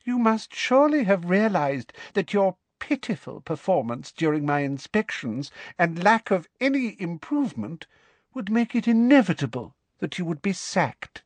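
A man voicing a cruel woman official with a high girlish voice and cold, precise diction. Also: sing-song, normal speed, no room sound. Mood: contemptuous. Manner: sweetly cold, precise, gloating.

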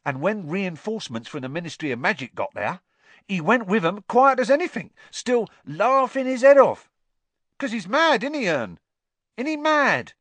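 A young bus conductor, eager and chatty, pitched well above the man who reads him and his own reading voice. He keeps gossiping and pulling his listener into agreement. The sound is close and dry. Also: sing-song, moderate pace, a bit bright, no room sound, heavily acted. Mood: excited gossip.

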